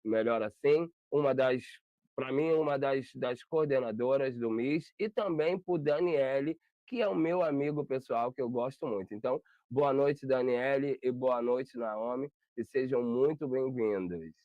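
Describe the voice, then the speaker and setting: A man's voice: mid-range voice, unhurried, no echo. A Brazilian man hosting an interview over a video call, chatty and rambling, often correcting himself. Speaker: interview host. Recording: dull lo-fi video call audio.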